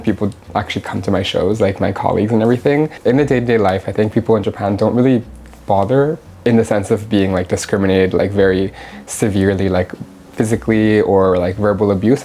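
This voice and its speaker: A woman in her thirties tells her story in a relaxed, candid way, laughing easily. She grew up in Canada after a start in Mexico. A faint hum of ambience sits under her voice. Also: very deep voice. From Mexico, raised in Canada. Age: 30s. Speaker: interview guest, drag performer. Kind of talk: casual interview. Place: her home.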